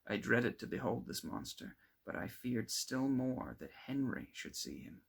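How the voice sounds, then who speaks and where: Gravelly man reading a classic story. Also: mid-range voice, very animated, measured pace. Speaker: amateur audiobook reader. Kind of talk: scripted literary narration.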